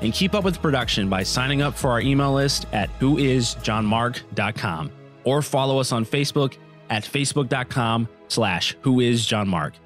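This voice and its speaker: A man's voice: mid-range voice, expressive, moderate pace. A man reading scripted credits as an announcer. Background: music.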